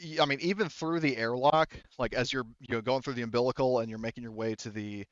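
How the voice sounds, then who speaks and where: Man, game master, casual tabletop narration, halting, backtracking, dry slightly muffled recording. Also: mid-range voice, no room sound. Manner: informal, halting, backtracking mid-sentence.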